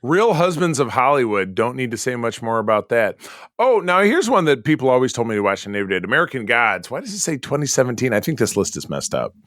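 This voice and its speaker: Hoarse guy from michigan cohosting a podcast, jokey, dry close sound. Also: mid-range voice, very animated, fast, a bit bright, dry recording. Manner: chatty, jokey sign-off. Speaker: podcast co-host. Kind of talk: casual chat.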